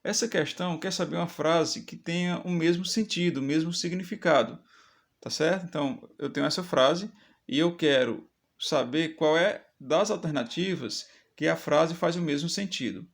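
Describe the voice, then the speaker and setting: Gravelly math teacher. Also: male voice, a little high, moderate pace. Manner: explanatory, checks in with "tá certo?". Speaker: math teacher for exam prep. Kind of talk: step-by-step exam lesson.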